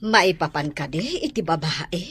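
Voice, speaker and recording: A woman acting a caring mother who gently questions her grown son in a radio drama. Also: low-pitched, expressive, measured pace, breathy edge, exaggerated voice. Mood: concerned.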